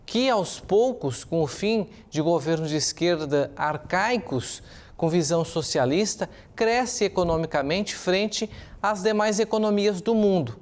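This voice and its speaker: A man with a Brazilian accent giving opinionated, explanatory news commentary as a journalist, speaking semi-improvised and posing rhetorical questions. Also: high-pitched.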